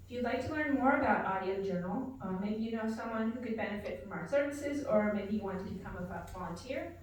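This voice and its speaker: A woman with a slightly high voice gives public announcements at a live event. She is heard at a distance from the mic, in a hall with very heavy echo. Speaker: organization director giving event introduction. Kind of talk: public announcement read to an audience. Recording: very echoey hall, distant mic, faint noise.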